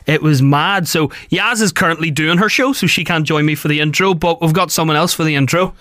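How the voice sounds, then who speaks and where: A man's voice: on the high side, fairly quick, sharp tone, no room sound, studio quality. A man of thirty, a radio breakfast host chatting casually and jokily, a bit worn out. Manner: chatty and jokey, a bit tired. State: tired. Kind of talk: casual podcast chat.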